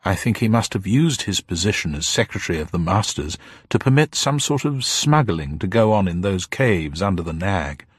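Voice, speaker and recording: A man's voice: low voice, expressive, normal speed, few pauses, slightly rough, dry recording, studio quality, pitched above the performer's own voice. A man voicing a little old upper-class woman, genteel, formal, grieving and proud, in an audiobook story. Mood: solemn confiding. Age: older. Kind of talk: dramatised audiobook character voice.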